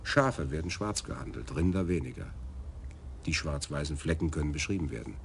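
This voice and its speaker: A dreamy detective with a very deep voice, eagerly explaining Frisian words. The radio-drama recording carries a faint hiss. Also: male voice, expressive, a little fast, creaky, heavily acted. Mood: lively. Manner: enthusiastic, pedantic about words. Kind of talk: 1980s radio drama acting.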